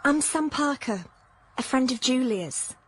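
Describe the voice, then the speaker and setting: An actress playing an earnest, insistent, worried friend in a radio drama. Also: female voice, high voice, expressive, measured pace, a bit bright, faint music behind. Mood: businesslike. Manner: urgent, concerned, insistent. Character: earnest, worried female friend, firm and caring.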